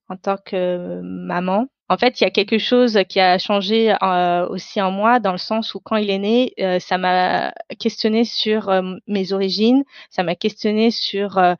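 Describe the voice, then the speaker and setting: A woman's voice: medium pitch, fairly even intonation, unhurried, no room sound. Woman speaking hesitantly, dry slightly dull recording. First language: Tamil. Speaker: interview guest. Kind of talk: reflective personal interview, unscripted.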